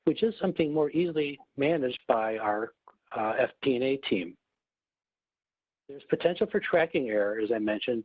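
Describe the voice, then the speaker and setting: A man giving a prepared, formal webinar presentation in a measured, explanatory manner, as a corporate executive. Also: medium pitch, slightly rough. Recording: muffled phone line, roomy echo.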